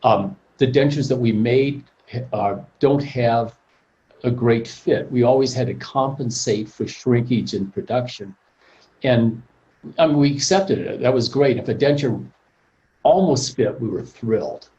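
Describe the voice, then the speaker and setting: Muffled older man lecturing calmly. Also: medium pitch. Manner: measured and plainspoken, practical. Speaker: prosthodontist presenter. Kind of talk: informal professional presentation. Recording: muffled lo-fi call audio.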